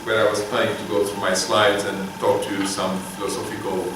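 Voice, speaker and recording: A middle-aged man gives a guest lecture, pausing often with fillers and self-deprecating asides. His voice sits low and reaches the mic from a distance. The big hall echoes heavily, with a clear room hum behind him. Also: little pitch movement. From Turkey. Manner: halting, filler-heavy, self-deprecating humor. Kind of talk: informal university lecture. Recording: echoey hall heard from afar.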